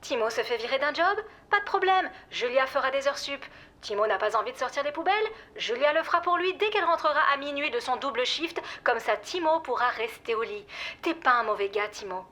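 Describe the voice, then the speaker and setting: An actress playing a weary, exasperated former partner in audio fiction, blunt, sarcastic and firm. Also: female voice, sits high, animated, a little fast, pushed and bright. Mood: reproachful. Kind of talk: audio fiction drama acting.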